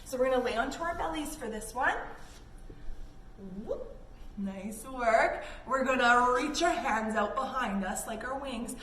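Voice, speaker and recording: High-voiced woman teaching kids' yoga, echoey room. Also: unhurried, a bit bright. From Canada. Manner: encouraging, simple step-by-step instructions to children. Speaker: children's yoga instructor. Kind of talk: guided kids' yoga class following a story. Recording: echoey room, distant mic, background noise.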